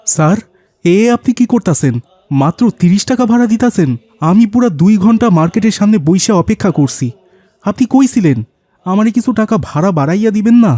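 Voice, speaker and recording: A man as a poor, humble Bangladeshi rickshaw puller, mellow. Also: high voice, animated, fairly quick, no room sound. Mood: indignant. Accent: colloquial Bangladeshi. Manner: deferential, earnest, plaintive. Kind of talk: cartoon voice acting.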